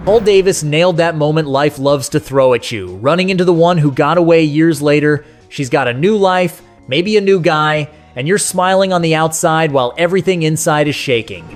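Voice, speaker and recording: A man's voice: a little high, moderate pace. A man narrating a scripted nostalgic countdown in a folksy, conversational, sentimental storytelling way. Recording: music playing behind the voice. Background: music.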